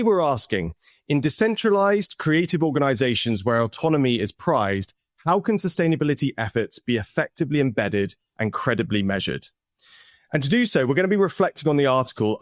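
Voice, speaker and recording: A man's voice: medium pitch, dry recording, clean studio sound. A man hosting an interview podcast, effusive and eager, often repeating words and restarting sentences as he asks questions. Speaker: podcast host.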